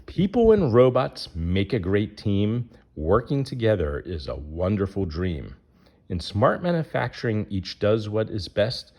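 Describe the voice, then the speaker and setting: A man's voice: fairly low pitch, huge pitch swings, a little slow, a little mellow. A man reading a rhyming children's picture book aloud as its narrator.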